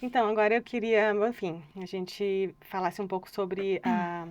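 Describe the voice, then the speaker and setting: A woman hosts an interview in an ordinary mid-range voice. She builds her questions slowly, adding clause after clause, and encourages her guest along the way. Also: medium pitch, steady tone. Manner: warmly encouraging, asks long, winding questions. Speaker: interviewer and host.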